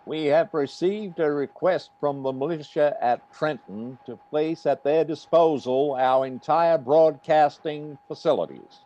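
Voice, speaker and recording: Slightly dull sound, mellow male voice, man playing radio executive, solemn, formal official statement, vintage radio drama. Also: on the high side, measured pace, few pauses, lightly acted.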